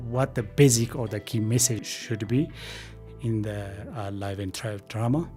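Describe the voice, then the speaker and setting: A man giving an explanatory documentary interview in halting, wordy phrases. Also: lowish voice, darkish tone. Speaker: drama production team member. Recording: guitar music under speech.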